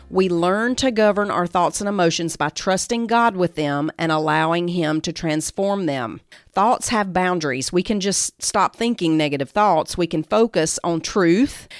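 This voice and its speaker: A woman giving a devotional Bible teaching, part scripted and part conversational, in an earnest, emphatic way with deliberate pauses. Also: fairly low pitch. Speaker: Bible teacher and show host.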